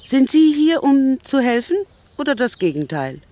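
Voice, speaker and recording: An older actress playing a blunt, disapproving elderly English spinster aunt in a radio play, brusque and scornful. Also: female voice, high-pitched, big pitch swings, normal speed, warm tone. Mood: brusque, probing.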